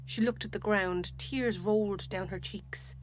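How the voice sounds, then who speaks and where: A woman with an Irish accent narrating a literary short story in a measured, dramatic way. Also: medium pitch, steady tone, a little hiss or hum, low-quality recording.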